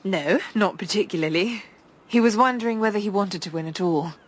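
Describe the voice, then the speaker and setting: An actress playing an enigmatic, composed young gentlewoman, polite and detached, in a radio drama. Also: mid-range voice, fairly quick, a little hiss or hum, lightly acted. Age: young adult.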